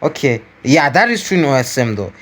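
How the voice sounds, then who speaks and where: A man's voice: medium pitch, sing-song, fairly quick, fairly bright tone. A man hosting a gaming video, giving casual gameplay commentary as he thinks aloud, repeating phrases and addressing viewers. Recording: clearly noisy home recording.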